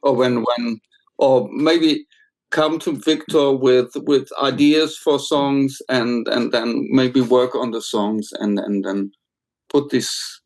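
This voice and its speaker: A man, a songwriter, talking casually in a halting way with frequent restarts. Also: medium pitch, a little mellow, rough recording. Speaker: songwriter, workshop participant. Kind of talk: casual conversation.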